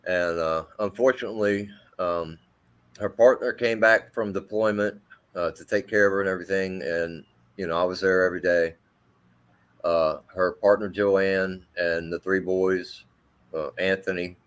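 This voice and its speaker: Deep-voiced male army veteran. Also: steady tone, fairly bright tone. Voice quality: deep, carrying voice. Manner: halting, with many fillers and restarts. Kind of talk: emotional storytelling in interview.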